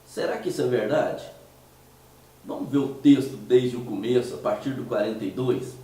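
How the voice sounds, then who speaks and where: Hoarse mineiro man in an echoey room. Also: mid-range voice, normal speed, warm tone, far from the mic. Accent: Mineiro Brazilian Portuguese. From Minas Gerais, Brazil. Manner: conversational, hesitant, with restarts and rhetorical questions. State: hoarse from a cold. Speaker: host of a commentary show. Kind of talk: casual monologue commentary.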